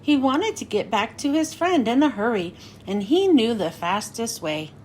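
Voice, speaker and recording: A woman reading a picture book aloud for children, in an expressive, sing-song storytelling manner. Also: on the high side, normal speed, slight background noise.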